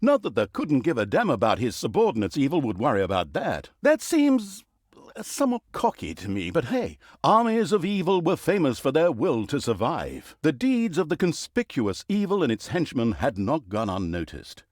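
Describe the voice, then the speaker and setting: A man's voice: a little high, huge pitch swings, moderate pace, no room sound, studio quality. A man playing a snarky, self-aware storyteller in a fantasy video game, narrating with pompous, mock-grandiose flourish and wry asides. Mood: wry, mock-grand.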